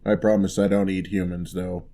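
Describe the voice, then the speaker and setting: A man playing a huge, gentle, courteous giant in an ASMR roleplay, speaking softly with wry, teasing, old-fashioned politeness. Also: low voice, little pitch movement, natural voice. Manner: unhurried, soft-spoken, old-fashioned courteous phrasing.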